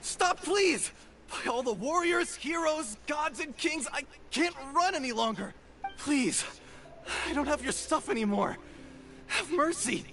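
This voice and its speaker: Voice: high voice, expressive, unhurried, a bit bright, a little hiss or hum, exaggerated voice. A performer voicing a cowardly young thief in a video game, who begs and swears oaths. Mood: pleading. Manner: frightened, begging, oath-swearing. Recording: game audio with music behind.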